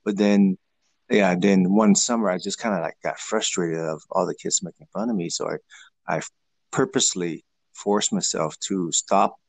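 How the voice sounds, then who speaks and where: A man whose first language is Vietnamese, answering interview questions in a halting way with many fillers and restarts. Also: deep voice, expressive, creaky, dry recording. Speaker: guest.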